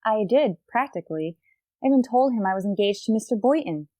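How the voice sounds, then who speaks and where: Lady playing wry radio comedy schoolteacher, arch but polite, middle pitch, quick witted, very dry sound. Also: animated, mellow, no echo, close to an everyday voice. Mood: worried. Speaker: lead actress. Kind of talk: old-time radio comedy acting with narration.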